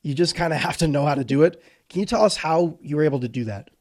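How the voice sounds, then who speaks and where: A man's voice: fairly high pitch, fast talker, darkish tone. A man hosting a casual interview, asking encouraging questions with enthusiasm. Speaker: host.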